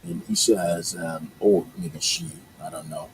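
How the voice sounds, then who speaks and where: A man's voice: low voice, very animated, measured pace, creaky, a little hiss or hum, poor audio. A male radio host whose first language is Yoruba, chatting casually on a morning show and rambling with lots of fillers and restarts. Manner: rambling, many fillers and restarts, upbeat asides.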